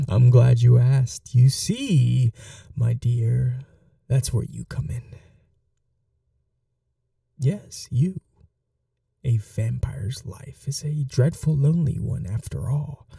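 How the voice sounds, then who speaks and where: A man playing a possessive, sadistic vampire lord in an ASMR roleplay, courtly, theatrical and taunting, with breathy drops into whispers. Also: mid-range voice, very slow, long pauses, dark tone, no echo, clean studio sound, recorded from a distance, full character voice. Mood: seductive, possessive. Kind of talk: dominant ASMR roleplay acting.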